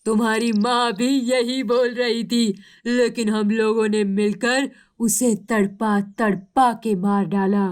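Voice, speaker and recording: A woman's voice: a little high, fairly even intonation, slow pace. Brief: menacing villain kite bird, odd put-on timbre, cartoon, by the narrator woman. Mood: gloating. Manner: menacing, gloating. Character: cruel gloating male kite bird. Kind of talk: Hindi cartoon voice acting.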